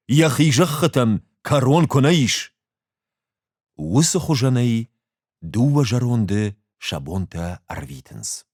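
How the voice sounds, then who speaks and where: A man reading a short story aloud as an expressive literary narrator. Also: medium pitch, dry recording, studio quality.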